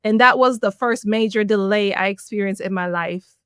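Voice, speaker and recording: A middle-aged woman from Jamaica, a podcast guest telling her life story in an animated way, laughing often. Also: mid-range voice, normal speed, smooth, no room sound, clean studio sound. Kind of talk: personal storytelling in an interview.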